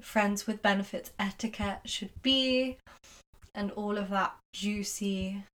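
A woman's voice: medium pitch, steady tone, slow pace, a bit bright, low-quality recording. A young adult woman co-hosting a podcast in casual chat, informal, giggly and frank. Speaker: podcast co-host.

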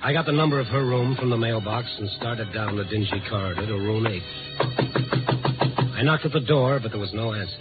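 An actor playing a wry, persistent newspaper reporter in a 1950s radio drama. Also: male voice, on the low side, lightly acted. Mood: narrating. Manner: wry, fast, conversational. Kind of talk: 1950s radio drama acting and first-person narration. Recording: tinny 1950s radio recording, loud music.

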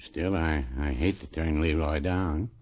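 An actor playing a dry, mild older druggist with a deadpan, teasing delivery in a 1950s radio comedy. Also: male voice, extremely low pitch, measured pace. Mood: mild, reminiscing. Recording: dull old radio recording.

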